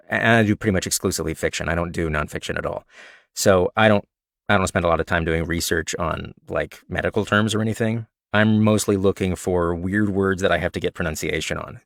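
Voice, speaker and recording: A man with a slightly raspy voice, an audiobook narrator casually answering questions and sharing recording tips. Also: low-pitched, no room sound, clean studio sound. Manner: relaxed, chatty, self-described nerd with frequent fillers. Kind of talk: casual Q&A livestream talk.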